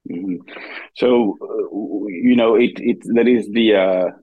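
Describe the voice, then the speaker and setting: Man, Senegalese accent, very deep, husky, dry close sound, thoughtful and searching. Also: slow pace, dry recording, narrow, tinny audio. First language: Wolof. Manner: thoughtful, searching for words, frequent restarts. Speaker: guest, dance professor. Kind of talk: conversational interview.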